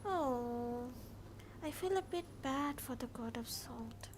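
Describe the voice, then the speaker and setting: A woman with an Indian accent and a high voice streams a game. She chats casually and thinks aloud, often in a murmur, over clearly audible background noise. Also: lively intonation, slow pace. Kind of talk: casual live-stream chat, reacting and reading game dialogue aloud. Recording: clearly noisy home stream.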